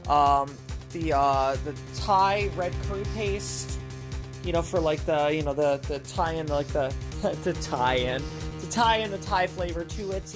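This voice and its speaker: A woman streamer with a deep voice chats in a loose, rambling way between game moments, full of fillers and restarts. Game music plays clearly behind her. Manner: rambling, chatty, many fillers. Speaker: gaming streamer. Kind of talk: casual chat while playing.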